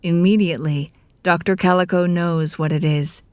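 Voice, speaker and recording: A woman's voice: lowish voice, fairly even intonation, moderate pace, darkish tone, clean tone, dry recording, clean studio sound, lightly acted. A woman playing a wry, theatrical storyteller, narrating a scripted audio drama in a confiding, grandiose manner. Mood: wry, dramatic.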